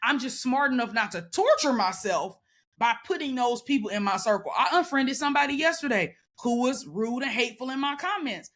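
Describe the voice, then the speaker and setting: Woman, loud and emphatic, sassy, a bit higher voice, casual chat. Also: animated, fairly quick. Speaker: podcast guest. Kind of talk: casual conversation.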